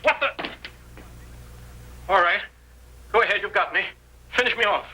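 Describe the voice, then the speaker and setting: An actor playing a crusty, suspicious old cabin man in a Western radio drama, with a raspy rural Western American accent and folksy, excitable talk. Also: sits high, a little slow, slight background noise, full character voice, poor audio. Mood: alarmed.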